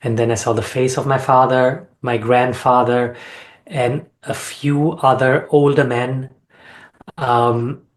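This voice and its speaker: Even-toned, earnest man in reflective conversation. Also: mid-range voice, slow. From Austria. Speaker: sound healing facilitator and interview guest. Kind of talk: reflective interview talk about personal experience.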